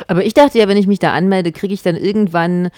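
A woman's voice: mid-range voice, steady tone, fast, darkish tone, no echo, studio quality. A woman, co-hosting a casual discussion podcast, chatty and questioning. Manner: chatty, questioning, laughs often. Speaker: podcast co-host.

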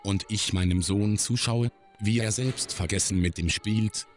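A man performing a reflective middle-aged man's literary radio-play monologue, philosophical and measured. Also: lowish voice, soft background music, natural voice. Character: reflective middle-aged man musing on his own life.

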